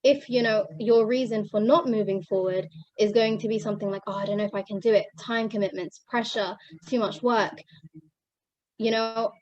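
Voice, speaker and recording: A woman's voice: mid-range voice, fairly even intonation, moderate pace, darkish tone, no room sound, lo-fi sound. A young woman from Pakistan whose first language is Urdu gives an earnest testimonial to fellow students, with many fillers and restarts. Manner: earnest, many fillers and restarts, switches between Arabic and English.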